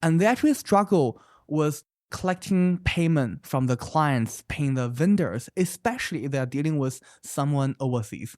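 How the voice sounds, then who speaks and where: Animated founder. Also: mid-range voice, normal speed, few pauses, no room sound, clean studio sound. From China. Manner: animated, emphatic, often adds "right?"; explains with many examples. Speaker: startup founder. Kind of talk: interview answers.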